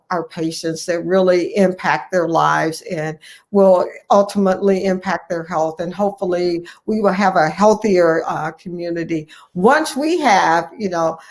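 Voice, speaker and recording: A woman's voice: lowish voice, gravelly. A woman who works as a family physician talks as an interview guest. Her delivery is conversational and earnest. She stresses her points firmly, often saying a phrase again for emphasis. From United States, Ohio.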